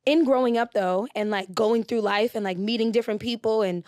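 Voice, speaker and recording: A young woman with a slightly raspy, fairly high voice talks casually as a podcast guest. She fills her speech with fillers and easy laughter. The sound is close and dry, with no room echo. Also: fairly quick, darkish tone. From Pasadena, California. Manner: chatty, many fillers, laughs often. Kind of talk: casual interview chat.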